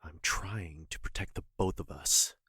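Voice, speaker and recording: Actor as a low, husky, remorseful man. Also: steady tone, sharp tone, dry recording, studio quality, full character voice. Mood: guarded, evasive. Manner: apologetic, earnest, halting. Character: guilty, evasive man apologetically breaking with his lover. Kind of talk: audio drama acting.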